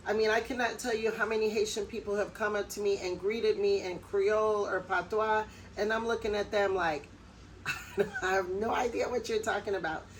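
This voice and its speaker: American woman, middle-aged, chatty vlog talk, medium pitch, faint hiss behind. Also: fairly even intonation, rough recording. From United States. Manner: chatty, personal, with quick laughs. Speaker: vlogger. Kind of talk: casual talk to camera.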